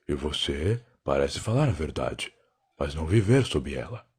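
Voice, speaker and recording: A Brazilian man playing a weary, defiant alien time-traveler in an audio drama, husky and gravelly, with a brooding, sarcastic, theatrical delivery. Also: rumbling low, sing-song, moderate pace, darkish tone, heavily acted. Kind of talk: dramatic first-person audio drama narration.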